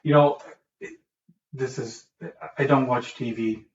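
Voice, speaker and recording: A relaxed man co-hosting a podcast, talking easily and laughing often. The sound is roomy and dull, as if he sits away from the microphone, with a faint hiss behind. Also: mid-range voice, a little slow, recorded from a distance. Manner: easygoing, warm, laughs often. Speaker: podcast co-host. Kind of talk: casual chat.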